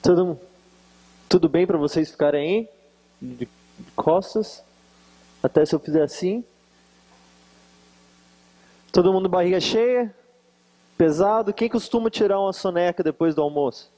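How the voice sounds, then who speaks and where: A man with a high-pitched voice and a Minas Gerais accent, speaking as a guest preacher at a church conference. He talks in a relaxed, joking way and teases his listeners. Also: unhurried, pauses often, poor audio. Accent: Brazilian Portuguese, Minas Gerais. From Varginha, Brazil. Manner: joking, teasing the audience. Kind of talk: conference sermon with jokes. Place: conference hall with live audience.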